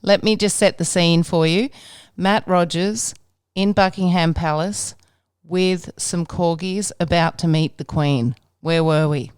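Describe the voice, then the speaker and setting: Clear-voiced Australian woman hosting radio. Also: fairly low pitch, steady tone, a little mellow, no room sound, studio quality. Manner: playful, quick to interject and laugh. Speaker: breakfast radio host. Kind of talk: casual radio chat. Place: radio studio.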